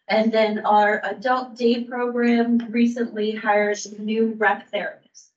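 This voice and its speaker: A woman who works for a county aging services bureau, giving a staff update at a public meeting in a measured way, with frequent ums and restarts. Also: a little high, little pitch movement. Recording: distant roomy mic, dull sound.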